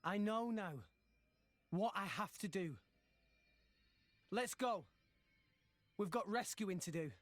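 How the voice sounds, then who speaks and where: Young game hero: boyish high voice, earnest, resolute. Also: male voice, lively intonation, takes it slowly, pauses often, a bit bright, dry recording, faint music behind, heavily acted. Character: earnest, determined teenage boy adventurer. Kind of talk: video game cutscene acting.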